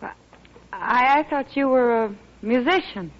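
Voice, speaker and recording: A woman's voice: on the high side, measured pace, natural voice. An actress playing a friendly, curious frontier town woman in a 1950s radio western, on a muffled old recording. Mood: friendly, interested.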